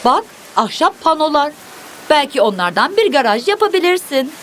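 A woman's voice: high voice, big pitch swings, moderate pace, fairly bright tone, a little hiss or hum. A woman narrating a children's cartoon, speaking expressively in simple, instructive phrases as if to young viewers and characters. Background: music.

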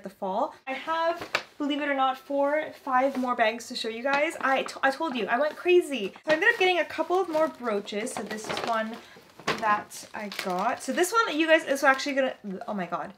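A woman's voice: sits high, lively intonation, fairly quick, noisy background. A young woman chatting casually to camera in a gushing, enthusiastic way, her voice slightly strained. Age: young adult. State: slightly strained voice, throat-clearing. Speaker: vlogger showing a vintage clothing haul. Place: small furnished bedroom, indoors.

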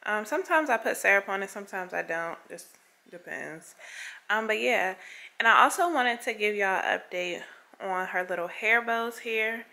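A young woman chatting casually to camera at home in a relaxed, rambling way full of fillers. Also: mid-range voice, normal speed, creaky. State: out of breath. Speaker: vlogger.

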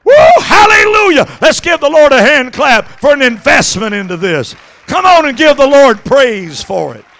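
A middle-aged man preaching an impassioned Pentecostal sermon into a handheld microphone, exhorting and swinging between conversational asides and shouts. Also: extremely high pitch, big pitch swings, moderate pace, vocal fry, some chatter behind, a little hiss or hum. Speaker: evangelist preaching. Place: church sanctuary stage at a pulpit.

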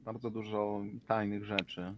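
A man's voice: on the low side, little pitch movement, measured pace, over a noisy crowd. A man playing a tabletop role-playing game, chatting casually in character. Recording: noticeable background noise.

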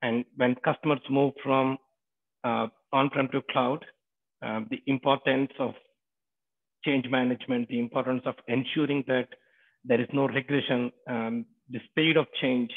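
A man's voice: medium pitch, fairly even intonation, normal speed. Man, host, fluent, tinny phone-like audio. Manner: fluent with fillers. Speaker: host, company founder. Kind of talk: panel moderating. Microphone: online call.

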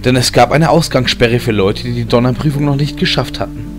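A man's voice: mid-range voice, a little fast. A man reading scripted fantasy narration as an audiobook narrator. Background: background film music.

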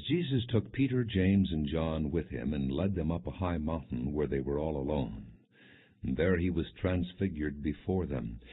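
A man reading scripture as an audiobook narrator, in a solemn, measured, scripted storytelling style. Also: low-pitched, husky. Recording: muffled, narrow phone-like audio.

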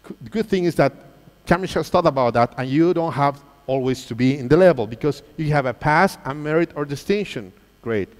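Man, thirties, lively stage presenter quizzing a big audience, ringing hall reverb. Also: on the high side, fairly quick. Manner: lively, engaging, asks the crowd questions. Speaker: presenter at a teachers' convention. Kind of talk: live quiz-style presentation to an audience. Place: large auditorium stage.

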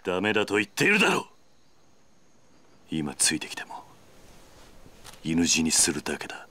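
Deep, stern, stoic yakuza. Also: male voice, wildly swooping pitch, normal speed, bright, forceful tone, full character voice. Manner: terse, firm, grave. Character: stoic, commanding veteran yakuza man, fiercely protective. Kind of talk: dramatic video game voice acting.

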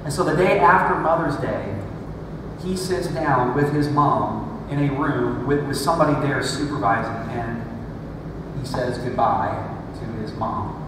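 A man's voice: medium pitch, a little slow, noisy background. A man in his thirties, a pastor preaching a sermon on a church stage, talking in a conversational way with dry self-deprecating jokes. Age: 30s. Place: large church stage with screen. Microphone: room mic far from stage. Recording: echoey hall recorded from far off.

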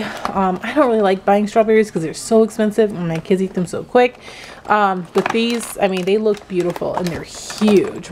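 Mom vlogger in her 30s, relaxed haul chat, casual ums, close camera mic, faint hiss. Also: medium pitch. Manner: chatty, relaxed, many "um"s, unhurried. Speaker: vlogger, mother of several kids. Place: home kitchen, indoors.

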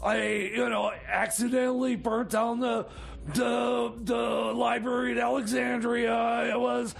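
A man playing a rambling, excitable, shifty sidekick in comedy radio theater, stammering and repeating words in a gravelly voice. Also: very high-pitched, unhurried, shouting, full character voice. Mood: evasive, flustered.

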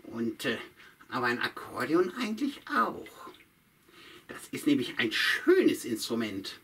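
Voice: medium pitch, wildly swooping pitch, measured pace, fairly bright tone, husky, heavily acted. A puppeteer voicing a sly old witch who chats to herself and hums tunes in a puppet show. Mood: muttering. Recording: voice from a distance.

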